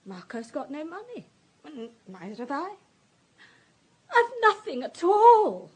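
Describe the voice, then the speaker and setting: An actress playing a fragile, distraught countess of thirty-nine in a radio drama, halting, emotional and apologetic. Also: female voice, sits high, sing-song, a little mellow, full character voice. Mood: anxious, confessing. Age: 30s.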